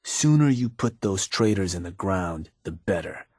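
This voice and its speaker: A husky, low-voiced raider strategist. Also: male voice, lively intonation, measured pace, a little mellow, no echo, clean studio sound, exaggerated voice. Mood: casual advising. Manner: laid-back, businesslike, colloquial delivery with clipped phrases. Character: gruff, shrewd male raider strategist advising his gang boss. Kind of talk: video game character dialogue.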